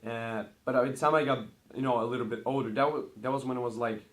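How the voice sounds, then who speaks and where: A teenage boy from Brazil who grew up speaking Portuguese talks casually to his camera. He rambles cheerfully, his voice at an everyday pitch, and he gets louder and brighter each time he starts a new numbered item. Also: steady tone, quick pace. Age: teens. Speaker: vlogger.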